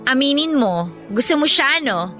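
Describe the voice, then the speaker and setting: High, bright, bubbly Filipino girlfriend. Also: lively intonation, normal speed, faint music behind. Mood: teasing, bossy. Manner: gushing, teasing, exclamatory. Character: bubbly, pushy young female friend teasing about romance. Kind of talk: Filipino radio drama acting.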